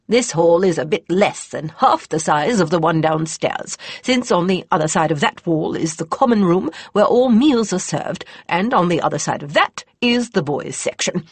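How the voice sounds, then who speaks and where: A woman's voice: lowish voice, breathy edge, no echo. A woman voicing a weary, wry middle-aged male academy dean who speaks in a dry, formal, exasperated way.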